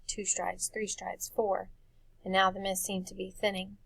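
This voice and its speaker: A woman reading a dark fantasy story for children as expressive scripted narration. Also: medium pitch, a little slow, vocal fry, breathy edge, dry recording, rough recording. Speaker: audiobook narrator.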